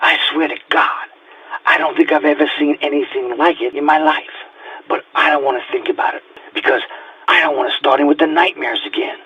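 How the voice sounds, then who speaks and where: A man's voice: a little high, fairly even intonation, brisk, pushed and bright, husky, exaggerated voice, low-quality recording. A man playing a weary, haunted police detective nearing retirement, speaking a reflective, confiding journal entry in an audio drama. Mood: uneasy, hopeful.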